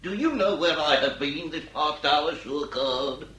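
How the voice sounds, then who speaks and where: A man's voice: fairly high pitch, measured pace, reverberant, highs cut off, very noisy, natural voice. An actor playing an irascible, blustering male police inspector in a British radio drama. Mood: grumpy. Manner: blustering, exasperated.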